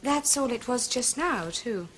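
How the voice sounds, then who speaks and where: A cool, haughty young upper-class English lady in a 1970s television period drama. Her fairly high voice is crisp and condescending and moves with lively rises and falls. A faint hiss lies beneath the old broadcast sound. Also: unhurried. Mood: cutting.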